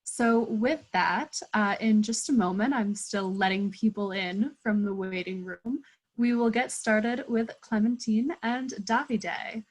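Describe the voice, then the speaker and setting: A woman's voice: mid-range voice, fairly even intonation, unhurried, poor audio. A young woman hosting an online webinar from a room at home, introducing speakers in a friendly organized way. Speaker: host. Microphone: earbuds on video call.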